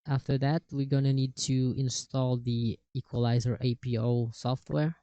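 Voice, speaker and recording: A man walks through a software tutorial in a mellow, relaxed voice. His sound is smooth and close, with very little room to it. The phrasing is casual and a bit halting as he moves from step to step. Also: medium pitch, no room sound. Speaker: tutorial host. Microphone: cheap desktop microphone with software processing.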